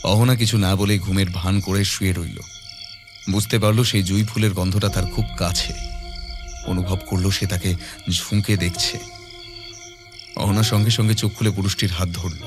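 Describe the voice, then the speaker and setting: A man's voice: low-pitched, steady tone, normal speed, long pauses, over music. A man narrating a scripted ghost story in a dramatic audio-story style.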